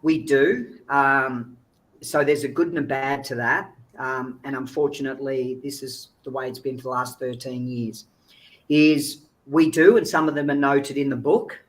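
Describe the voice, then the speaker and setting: A man's voice: medium pitch, steady tone, unhurried, rough recording. A man giving informal, earnest, explanatory answers as an interview guest on a video call. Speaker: guest speaker, child recovery agency founder.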